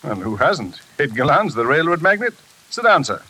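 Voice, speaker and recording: An actor playing an unruffled, probing psychiatrist, speaking in a measured, pointed way in 1940s radio style. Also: male voice, fairly high pitch, big pitch swings, sharp tone, low-quality recording. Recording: hissy old radio recording.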